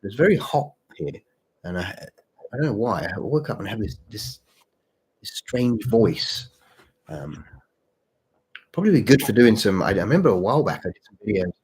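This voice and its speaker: Male streamer, fairly deep and rough-edged voice with a touch of sore throat, halting, informal, repetitive chat. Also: sing-song, darkish tone. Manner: halting, repeats phrases. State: mild sore throat, voice deeper than usual. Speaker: channel host and story writer. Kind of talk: casual livestream chat with viewers.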